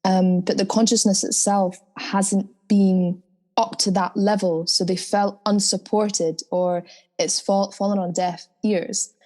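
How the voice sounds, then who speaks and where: A woman singer speaking in an interview in a deep, soulful voice, earnest and spiritual in tone. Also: little pitch movement, takes it slowly, a little mellow. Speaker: guest singer. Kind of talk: reflective interview talk.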